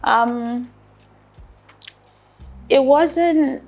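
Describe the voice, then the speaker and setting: A woman's voice: sits high, drawn-out words, soft background music. A middle-aged woman from Ghana, a guest in a casual studio interview, speaking on a lapel mic with grateful, easy laughter. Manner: warm, grateful, laughs often.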